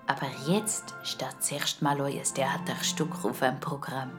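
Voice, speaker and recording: A woman voicing a kindly older neighbour, soothing and slow, with a hushed, breathy voice. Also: rumbling low, level tone, over music, voice pushed down.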